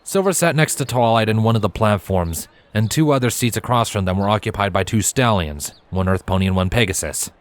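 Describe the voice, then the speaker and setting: Low-voiced man narrating a story. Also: expressive, fairly quick, hardly any pauses.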